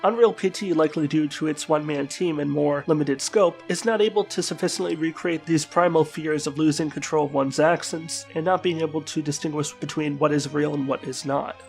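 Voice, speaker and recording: A man with a congested, flu-struck voice reads a scripted video game review as the channel's host. His pitch sits a little high and his phrasing is formal and wordy. Faint music plays behind him. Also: a little fast, vocal fry, few pauses. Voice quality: stuffed-up, congested. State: sick with a flu-like bug.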